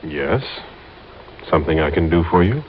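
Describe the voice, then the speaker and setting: An actor playing a cool, sly, threatening young gangster in an old radio crime drama, with an American accent. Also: male voice, very deep voice, animated, moderate pace, very noisy. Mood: cool menace. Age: young adult. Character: smooth, menacing young male gangster, coolly watchful. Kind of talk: 1950s radio crime acting. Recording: dull old radio recording with music.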